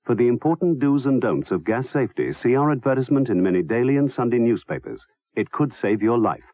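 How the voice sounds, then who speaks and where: A man's voice: mid-range voice, animated, fairly quick, mellow, dry recording. A man reading a scripted warning as an authoritative advert announcer.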